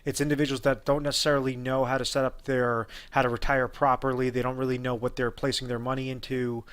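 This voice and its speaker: A man's voice: mid-range voice, flat delivery, fast, fairly bright tone, smooth. A man working as a retirement advisor who explains rollovers in an unscripted way, with frequent fillers and restarts. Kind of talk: explainer video talk.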